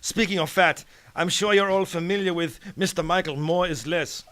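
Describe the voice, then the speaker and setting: A man's voice: high voice, normal speed, a bit bright. A man playing a pompous, bombastic United Nations leader who blusters and cuts others off in a satirical radio serial. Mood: impatient, blustering. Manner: grandiose, blustering, cutting others off.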